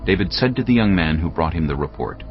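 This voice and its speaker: A deep male narrator reads scripture with measured, dramatic phrasing and lively movement in pitch. Music plays under a slightly dull recording.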